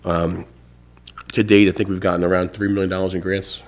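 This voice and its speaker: Congested deep-voiced man chairing a meeting. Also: level tone, brisk. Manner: casual, filler-heavy, restarts phrases. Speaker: town supervisor chairing a board meeting. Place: town hall meeting room.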